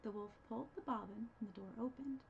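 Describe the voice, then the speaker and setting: A woman reading scripted storybook narration as a librarian storyteller, with an expressive delivery. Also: on the high side, fast talker, vocal fry. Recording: dull, slightly noisy recording.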